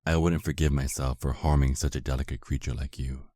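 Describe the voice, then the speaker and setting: A man playing a polite, seductive vampire husband in an ASMR roleplay drama, often dropping into a hushed, hypnotic manner. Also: extremely low pitch, steady tone, fairly quick, dry recording, studio quality. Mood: gentle, reassuring.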